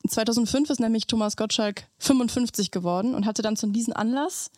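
A woman's voice: a little high, fairly quick, darkish tone, no echo, clean studio sound. A woman, around her thirties, chatting casually as a podcast host in colloquial sprinkled with English slang. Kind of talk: casual podcast chat, retelling.

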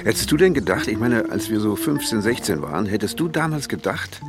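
A man's voice: lowish voice, animated, a little fast, loud music behind the voice. An actor playing an anxious, wry man in his late fifties in a naturalistic radio play, with a hesitant, colloquial, self-mocking delivery. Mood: wry, brooding. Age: 50s. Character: anxious, wry 59-year-old man fretting about ageing.

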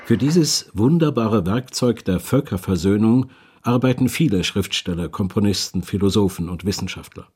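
A man's voice: on the low side, animated, normal speed, a little mellow, breathy edge. A man reading scripted narration for a radio documentary as its narrator.

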